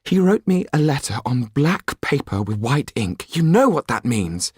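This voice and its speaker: A man's voice: mid-range voice, very animated, a little slow, darkish tone, husky, no echo, studio quality, voice pushed up. A man voicing a desperate aristocratic Frenchwoman in an audiobook, pleading and warning with imploring emphasis.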